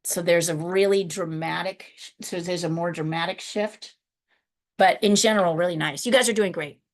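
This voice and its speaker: A woman's voice: lowish voice, fairly even intonation, moderate pace. A woman teaching an online drawing class over a video call, chatty and encouraging. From United States. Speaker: art teacher.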